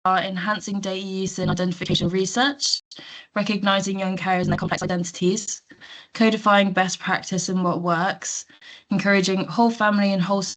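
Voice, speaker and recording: A woman presenting slides in an online meeting, her voice croaky from illness, informal with hesitant fillers. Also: mid-range voice, fairly even intonation, fairly quick, fairly bright tone, poor audio. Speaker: guest presenter.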